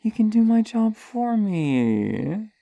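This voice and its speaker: A woman's voice: mid-range voice, sing-song, takes it slowly, vocal fry, dark tone, dry recording, full character voice. A woman playing a sadistic, playful serial killer in an ASMR horror roleplay, teasing and menacing, with a hesitant stammer and drops into whispers. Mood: smug amusement.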